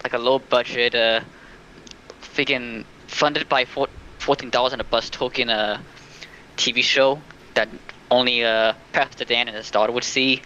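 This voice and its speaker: A man with a bright, pushing voice talks casually on a stream. He feels his way through sentences with many fillers. The mic sounds dull and lo-fi, with faint noise. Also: mid-range voice, fairly even intonation, moderate pace. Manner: hesitant, heavy use of filler words. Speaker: stream participant. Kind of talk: casual discussion on a livestream.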